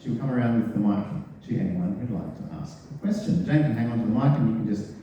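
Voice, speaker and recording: A man hosting a public forum in a town hall, introducing the guests in a relaxed, friendly way. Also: fairly low pitch, fast, highs cut off, slight background noise. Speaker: forum host. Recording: echoey hall from far off.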